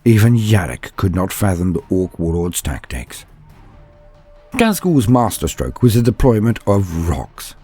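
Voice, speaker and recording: Male storyteller, lowish and husky, measured theatrical narration of scripted war lore. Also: dark tone. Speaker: narrator of fan lore and fiction videos.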